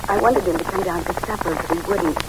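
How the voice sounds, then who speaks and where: A woman's voice: lowish voice, fast, natural voice. An actress playing an earnest, gracious young small-town woman in an old-time radio drama, speaking politely and carefully. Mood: earnest, sorrowful. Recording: very noisy vintage radio broadcast.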